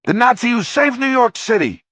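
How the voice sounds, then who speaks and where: A man reading aloud, voicing an angry, blunt working man who shouts at a meeting. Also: very high voice, sing-song, fairly quick, no room sound, pitched above the performer's own voice, heavily acted.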